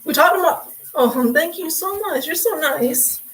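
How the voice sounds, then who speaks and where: A young woman chatting on a livestream, sassy and talkative, calling people sweetie and honey. Her slightly high voice is worn hoarse and raspy from hours of talking without water. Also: lively intonation, normal speed. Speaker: livestream host. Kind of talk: casual livestream chat.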